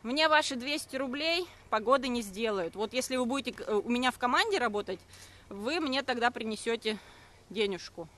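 A woman in her thirties, talking on a live stream while she walks a forest path with her phone held at arm's length. She answers viewers' comments briskly and defensively and hesitates often. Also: a little high, lively intonation, fairly bright tone. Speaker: network marketing streamer. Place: walking outdoors on a forest path.

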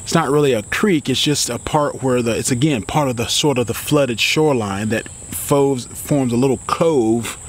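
A man's voice: medium pitch, normal speed, hardly any pauses. American man talking over outdoor insect noise. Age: middle-aged. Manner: informal, rambling explanation with repetitions. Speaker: fishing vlogger. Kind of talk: casual instructional talk to camera. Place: outdoors beside a building in a green yard. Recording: clearly noisy outdoor insect ambience.

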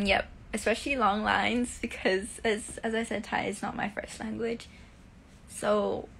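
Young actress chatting with fans on a phone live stream; gravelly edge, light halting delivery. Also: female voice, mid-range voice, slight background noise. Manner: reads comments softly, answers with halting restarts. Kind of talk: casual live stream answering fan comments.

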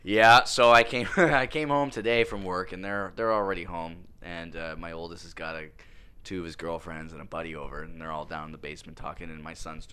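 A man's voice: low voice, fairly even intonation, fairly quick, bright, forceful tone, clean tone. A man with a Canadian accent, a podcast guest in casual chat who tells stories and acts out the people in them. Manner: chatty storyteller, acts out quotes. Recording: podcast studio mic.

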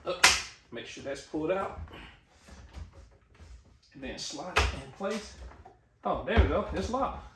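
A man's voice: a little high, expressive, slow pace, long pauses. A middle-aged American man narrating a how-to in an informal way, thinking aloud as he works. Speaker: DIY tutorial host. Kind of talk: casual how-to narration while working. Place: garage workshop, indoors. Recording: echoey garage with noise and clicks.

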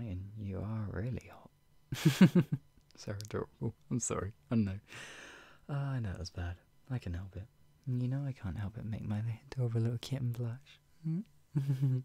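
An actor performing an intimate roleplay as a doting, playful, teasing lover, murmuring with soft hums, giggly hesitations and drops into whispers. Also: medium pitch, no room sound. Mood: soothing, playful. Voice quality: breathy, husky, often dropping into whispers. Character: doting, playful, flustered lover tenderly caring for an ill pet-named partner.

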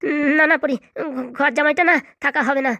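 A woman's voice: sits high, steady tone, a little fast, pushed and bright, dry recording. A woman voicing a meek young husband who pleads hesitantly in a comic cartoon. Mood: flustered, meek. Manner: hesitant, placating, stammering. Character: meek, pleading young student husband, henpecked son-in-law. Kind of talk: comic cartoon drama dialogue.

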